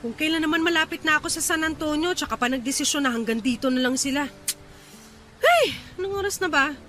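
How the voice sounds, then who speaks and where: A woman's voice: sits high, a little fast, fairly bright tone, slight background noise. An actress in a Filipino radio drama playing an irritated, tired young woman stranded late at night, complaining in exasperation. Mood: annoyed.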